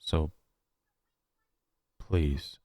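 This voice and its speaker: A man playing a shaken, paranoid survivor recording a horror audio log, speaking haltingly with long pauses. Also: a little mellow, dry recording, clean studio sound. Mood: fearful, pleading. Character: shaken, paranoid male survivor of a nightmare realm.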